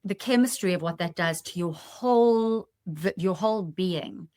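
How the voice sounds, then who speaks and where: Lower-pitched woman, close dry podcast sound. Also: normal speed, dark tone, dry recording. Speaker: podcast host. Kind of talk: conversational podcast talk.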